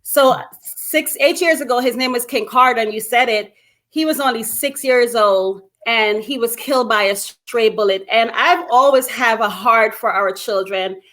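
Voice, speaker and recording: A woman's voice: fairly high pitch, moderate pace, a bit bright. A middle-aged woman from Guyana and Brooklyn, speaking as an interview guest in an emphatic, conversational storytelling way. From Guyana and Brooklyn, New York. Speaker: interview guest, anti-gun violence activist.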